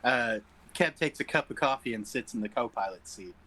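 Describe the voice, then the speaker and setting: A man's voice: mid-range voice, animated, fairly quick, sharp tone. A man reading stage directions as narrator at an informal sci-fi script table read.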